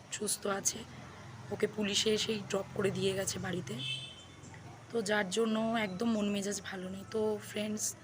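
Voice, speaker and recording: A young woman with a West Bengal Bengali accent chatting casually to viewers in a vlog, addressing them as friends and mixing in English words. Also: mid-range voice. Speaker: vlogger. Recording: faint background noise.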